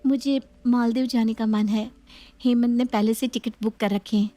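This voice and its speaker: A woman's voice: a little high, steady tone, moderate pace, mellow, dry recording, natural voice. A woman playing a willful, sly young new bride in a family story, self-assured and scheming. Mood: coy.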